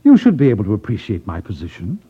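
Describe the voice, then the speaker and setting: An actor playing a suave, cold-blooded crime boss with genteel, condescending politeness in a 1950s radio drama. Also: male voice, on the low side, wildly swooping pitch, quick pace, husky, heavily acted. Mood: polite menace. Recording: muffled vintage 1950s radio recording.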